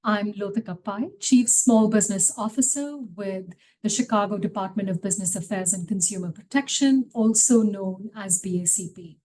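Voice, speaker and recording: A woman with a mid-pitched voice hosts a webinar. She speaks as an official presenter, reading introductions and asking guests questions. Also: normal speed, few pauses, dark tone, low-quality recording. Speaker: host, city small business official.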